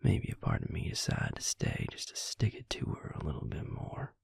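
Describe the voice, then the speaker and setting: A man playing a brooding, protective vampire lover in an intimate audio roleplay, whispering slowly and haltingly with a breathy, gravelly voice. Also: dry recording, lightly acted. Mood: bitter, confiding. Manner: slow, halting delivery with pauses.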